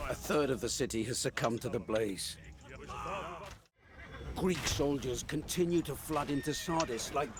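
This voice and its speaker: A man's voice: a little high, background noise. An actor playing a stern, pious Persian officer in a video game, indignant and urgent as he declaims. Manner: solemn declaiming and urgent commands. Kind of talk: dramatic video game voice acting. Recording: dramatic music behind the voice.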